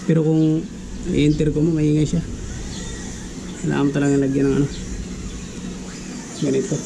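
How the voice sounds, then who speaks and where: Creaky-voiced man, casual tutorial chat. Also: fairly high pitch, flat delivery, normal speed, background noise. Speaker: vlogger giving a DIY tutorial.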